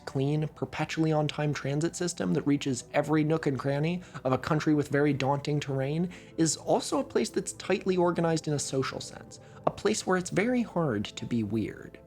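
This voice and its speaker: A man from Oregon reads a scripted documentary narration with a wry, conversational touch. His pitch rises and falls a great deal as he tells the story. A faint music bed sits under his voice. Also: animated, normal speed, hardly any pauses. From Oregon, USA.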